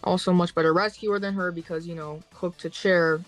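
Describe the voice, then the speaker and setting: A woman's voice: on the low side, steady tone, fairly quick, faint music behind. Teasing young woman on a stream. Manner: teasing, dismissive banter. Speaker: stream co-host. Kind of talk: casual gaming stream chat.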